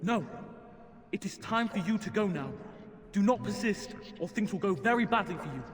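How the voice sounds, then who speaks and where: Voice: mid-range voice, lively intonation, moderate pace, slight background noise, close to an everyday voice. An actor voicing an imperious, threatening demon in a child's guise for a fantasy video game. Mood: cold, menacing. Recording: long hall reverb.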